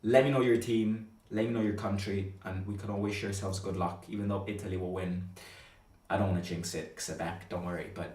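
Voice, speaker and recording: A man's voice: sits low, steady tone, a little fast, a little mellow. A man hosting a live football fan stream, chatting conversationally and hesitantly, often repeating himself as he goes. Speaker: fan channel host and streamer. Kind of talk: live-stream football chat, reading viewer comments. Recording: roomy echo, distant from microphone.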